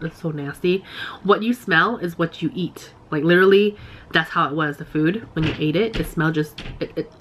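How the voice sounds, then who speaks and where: Woman, twenties, nasal from a cold, lower voice, chatty vlog answers, faint room hum. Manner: reads each question number brightly, then answers chattily. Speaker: beauty vlogger. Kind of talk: casual question-and-answer vlog. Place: her bedroom.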